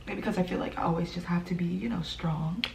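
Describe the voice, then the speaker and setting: A young woman vlogging in a soft, hesitant voice, grieving and close to tears, often dropping to a whisper between fillers. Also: on the low side, creaky, noisy background. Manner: fillers and trailing pauses. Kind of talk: emotional personal vlog.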